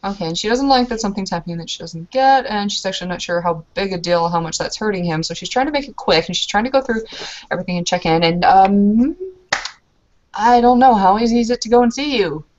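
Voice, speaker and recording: A woman's voice: medium pitch, fast, darkish tone, muffled recording. A woman narrating her character's actions in a tabletop roleplaying game, informal and hesitant as she improvises.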